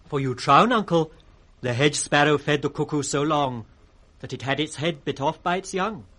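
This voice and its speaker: An actor playing a witty, impudent court fool in radio Shakespeare, with a sing-song, riddling delivery. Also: male voice, a little high, normal speed, pushed and bright. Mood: mocking. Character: witty, mocking court fool, teasing the king. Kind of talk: 1960s British radio Shakespeare acting, with sung rhymes. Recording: vintage 1960s radio drama recording.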